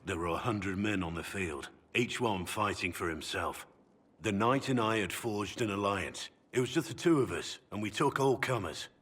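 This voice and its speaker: A man playing a brooding, honourable middle-aged warrior in a video game, with measured, earnest delivery. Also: on the low side, a bit bright. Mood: animated, nostalgic. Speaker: video game character voice actor. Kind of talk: dramatic game dialogue.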